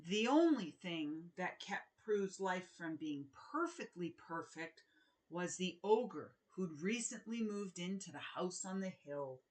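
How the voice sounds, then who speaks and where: Middle-aged female children's author, unhurried pace, expressive read-aloud delivery, words carefully stressed for young listeners. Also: lowish voice. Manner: expressive, deliberate storytelling with emphasized words.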